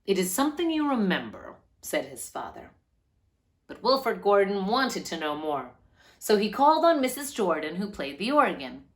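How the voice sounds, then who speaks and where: A woman reads a storybook aloud for young children. Her pitch moves up and down a great deal, giving the narration an animated, lilting, expressive feel. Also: moderate pace. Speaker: children's read-aloud host.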